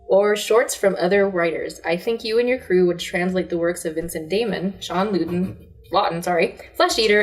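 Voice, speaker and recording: A woman co-hosting a casual show, playfully chiming in and reading out listener questions. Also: fairly low pitch. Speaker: co-host.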